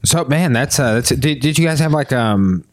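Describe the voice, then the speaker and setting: A man's voice: mid-range voice, expressive, moderate pace, dry recording, clean studio sound. A man hosting a casual podcast, rambling with lots of "dude" and "like.". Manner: rambling, says dude and like a lot. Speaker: podcast host. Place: Arkansas.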